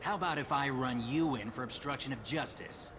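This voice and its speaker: An actor playing a dismissive police officer on a space station in a video game, official and condescending. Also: male voice, mid-range voice, fairly quick, noisy background, close to an everyday voice. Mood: stern.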